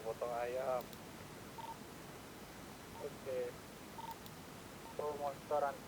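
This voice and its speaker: Medium-pitched slangy man over noise. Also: fairly even intonation, drawn-out words. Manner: colloquial, slangy. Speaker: player in an online roleplay game. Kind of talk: casual in-game chat. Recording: clear rumbling background noise.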